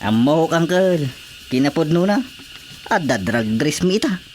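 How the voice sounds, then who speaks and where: A woman's voice: low-pitched, lively intonation, a little slow, darkish tone, soft background music. A woman acting a cocky, cheerful young relative in an Ilocano radio drama comedy. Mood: boastful. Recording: faint background noise.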